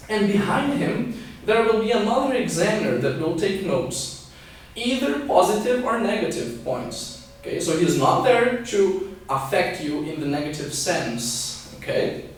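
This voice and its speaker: A young man with a high voice presents a lesson as a teacher. He explains things in an instructional way, often adding a checking okay. The sound is heavily reverberant, picked up at a distance from the microphone. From born in Scotland, raised partly in Brazil. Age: 20s. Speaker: English teacher and pilot giving a lesson. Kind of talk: instructional video lesson to camera. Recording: very echoey room, distant microphone.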